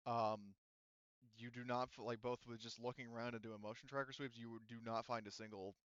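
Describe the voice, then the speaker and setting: A man running a tabletop roleplaying game as game master, explaining events casually in halting, rephrased sentences. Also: medium pitch, flat delivery, a bit bright, smooth, dry recording, dull audio. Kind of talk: casual, improvised game narration.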